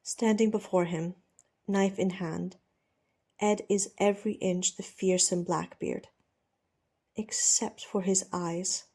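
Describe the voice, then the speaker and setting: A woman narrating fiction as an audiobook reader, measured and expressive, with a dramatic storytelling style. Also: mid-range voice.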